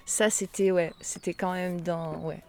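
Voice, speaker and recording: A woman's voice: lowish voice, fairly even intonation, measured pace, a little mellow. A woman, a volunteer housekeeper at a sailing base, chatting and reminiscing with hesitations in an interview. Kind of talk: casual interview. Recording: noisy outdoor recording.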